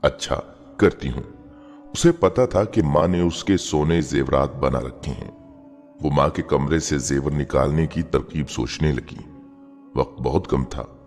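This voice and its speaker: A man's voice: a bass voice, measured pace, a little mellow. A man narrating a scripted moral story in an earnest, sentimental, dramatic way. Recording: long reverb, music bed behind. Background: background music.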